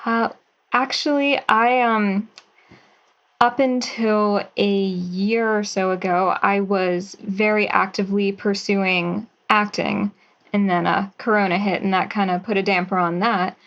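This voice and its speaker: A young woman talks easily and brightly about her work as a guest, laughing now and then. Her voice sits in a middle range, and the recording is somewhat muffled. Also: mid-range voice, fairly even intonation, unhurried. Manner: chatty, upbeat, with light laughter. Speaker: guest writer and actress. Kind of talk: casual interview.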